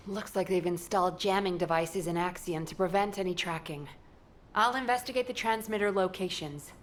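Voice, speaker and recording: An actress playing a capable, stern, dryly wry woman soldier with a brisk manner in a video game cutscene. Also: lowish voice, slight background noise, natural voice. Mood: exasperated, brisk.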